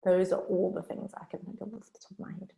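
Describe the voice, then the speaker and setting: A woman with a South African accent giving a chatty workshop talk on a video call, talking fast and informally. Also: on the low side, little pitch movement, gravelly, warm tone. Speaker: workshop speaker. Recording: roomy echo.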